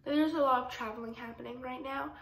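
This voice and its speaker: A teenage girl talks into a phone held at arm's length in her bedroom, chatty and rambling with plenty of "like" and "um." Her voice sits a little high, and she has a head cold. The room gives an echoey sound. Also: fairly even intonation, brisk, a bit bright. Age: teens. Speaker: vlogger. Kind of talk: casual vlog chat to camera. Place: bedroom at home, indoors. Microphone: phone camera at arm's length.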